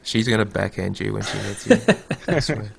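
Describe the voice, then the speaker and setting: A man's voice: fairly low pitch, a little fast, vocal fry, slight background noise. A man hosting a casual gaming podcast who runs through news and reads a write-up aloud. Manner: reads a write-up aloud in a mock movie-trailer voice. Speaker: podcast host.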